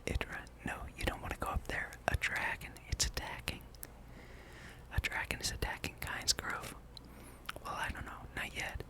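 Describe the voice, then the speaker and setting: A person speaking in a breathy, unvoiced whisper, giving rambling, playful ASMR commentary while playing a video game. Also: normal speed. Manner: hushed, playful musing, reads game dialogue aloud. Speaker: gameplay streamer.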